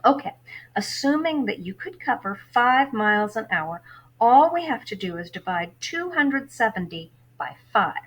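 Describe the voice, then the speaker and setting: A woman's voice: a little high, very animated, measured pace, breathy edge, full character voice, rough recording. A woman reading a children's novel aloud as a kindly, matter-of-fact librarian with precise, formal wording. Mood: explanatory.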